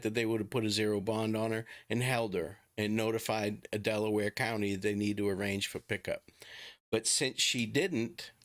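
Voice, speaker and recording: A man's voice: on the low side, fairly bright tone. Boston-accented man hosting a casual livestream. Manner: informal, chatty, halting. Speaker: livestream host. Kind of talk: casual livestream talk to viewers.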